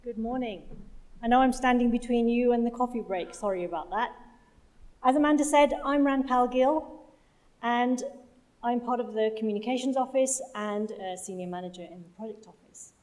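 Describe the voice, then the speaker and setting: Higher-voiced woman giving a talk. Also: normal speed, darkish tone. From London, UK. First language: Punjabi. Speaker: conference speaker, project manager. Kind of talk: conference presentation. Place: conference hall stage.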